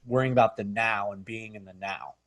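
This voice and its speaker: A man's voice: fairly low pitch, fairly even intonation, brisk, dry recording. Laid-back man hosting a video chat, dry close audio. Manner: easygoing, rambling with fillers. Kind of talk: casual chat. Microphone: video call.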